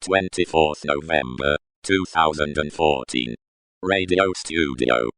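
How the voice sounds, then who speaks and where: A man's voice: rumbling low, takes it slowly, fairly bright tone, heavily acted. A man playing a solemn announcer who reads scene headings in a radio drama. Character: solemn male announcer of dates and studios.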